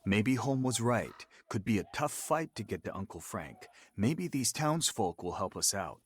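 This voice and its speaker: Earnest, low-voiced frontier hero. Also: male voice, big pitch swings, normal speed, husky. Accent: American. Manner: plain-spoken, serious, firm. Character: earnest, resolute Old West frontier soldier of fortune. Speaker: hero of a game cutscene. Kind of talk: dramatic game cutscene acting.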